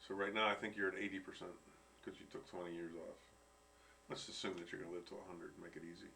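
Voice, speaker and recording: A man in his sixties chats casually and reasons things through quickly and matter-of-factly. His voice sits fairly low and he talks fast. He is heard from a distance, away from the microphone. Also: fairly even intonation, vocal fry, bright, forceful tone. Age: 60s. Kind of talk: casual chat.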